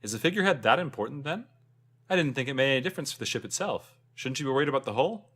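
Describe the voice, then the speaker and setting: A man voicing a curious, polite lady passenger in a fantasy story, with light, quick phrasing. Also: medium pitch, expressive, a bit bright, breathy edge, pitched above the performer's own voice.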